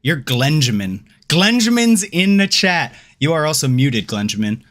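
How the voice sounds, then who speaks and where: A man in his forties hosting a casual chat show, relaxed and sprinkling in "uh" fillers. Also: a little high, moderate pace. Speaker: podcast host.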